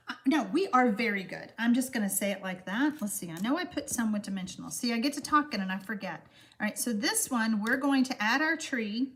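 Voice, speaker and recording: Woman host, middle-aged, slightly froggy voice, bright lively pitch, chatty craft demo. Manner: chatty, talks to viewers while working. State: froggy voice from allergies. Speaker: card-making demonstrator hosting a livestream. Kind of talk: casual livestream craft demonstration.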